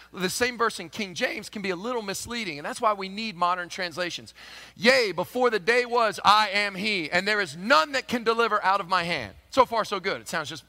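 Kentucky pastor with a bright, emphatic voice. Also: male voice, high-pitched, creaky. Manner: swings from loud emphatic proclamation to soft conversational asides. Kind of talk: sermon. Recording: long hall reverb.

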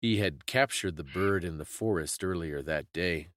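A man giving a dramatic scripted story reading as a narrator, solemn and suspenseful, with deliberate phrasing. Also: deep voice, fairly bright tone, no room sound, studio quality. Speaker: storyteller and podcast host.

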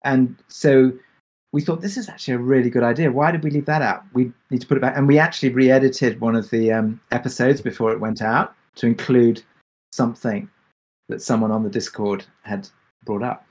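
Guy on a q&a panel, normal pitch, kinda rambly and hesitant, explaining how they made their show. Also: steady tone, brisk, darkish tone. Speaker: audio drama creator on a Q&A panel. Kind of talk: casual panel discussion.

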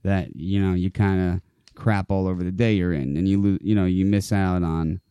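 A man's voice: deep voice, fairly even intonation, moderate pace, warm tone, clear, resonant tone, no echo. A man in his thirties co-hosting a podcast, talking in an earnest, encouraging way and leaning on filler phrases. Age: 30s. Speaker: podcast co-host. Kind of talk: casual podcast conversation.

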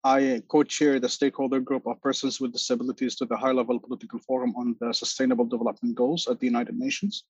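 A man in his forties from Lebanon, giving a measured, formal talk as a panel speaker. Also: medium pitch, level tone, breathy edge, no echo, highs cut off. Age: 40s. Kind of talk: prepared talk on a video call.

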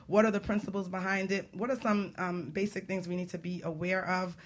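A woman's voice: on the low side, little pitch movement, a little fast. A woman hosting a radio talk show in a chatty, informal, upbeat way.

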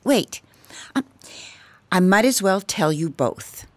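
A woman's voice: mid-range voice, animated, takes it slowly, no echo. A woman acting an emotional mother in a radio drama. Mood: apologetic. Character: mother, by turns defensive and gushingly excited.

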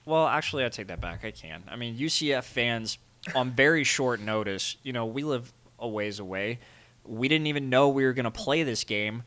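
A man's voice: medium pitch, normal speed, a bit bright. A young man co-hosting a sports podcast, recalling games in a laid-back, easygoing way. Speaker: podcast co-host. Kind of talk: casual sports chat.

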